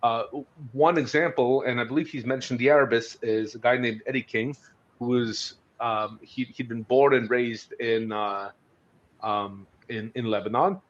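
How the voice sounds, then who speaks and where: Halting man on a dull lo-fi recording. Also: medium pitch, fairly even intonation. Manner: halting, hesitant, thinking aloud. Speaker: podcast host discussing books. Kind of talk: conversational history discussion. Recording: dull, lo-fi audio.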